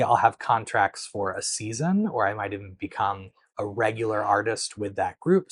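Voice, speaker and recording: Soft-spoken man chatting to camera. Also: fairly low pitch, no echo. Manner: conversational, explains patiently with frequent um and so. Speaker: knitting channel host. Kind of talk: casual Q&A chat to camera. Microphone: clip-on mic.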